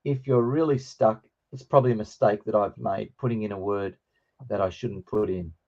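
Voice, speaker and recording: A middle-aged man with a slightly croaky voice, teaching an informal online lesson in a hesitant, explanatory way. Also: fairly low pitch, steady tone, moderate pace, a little mellow. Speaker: teacher of New Testament Greek. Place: online video call with screen share. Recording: dull, lo-fi online call.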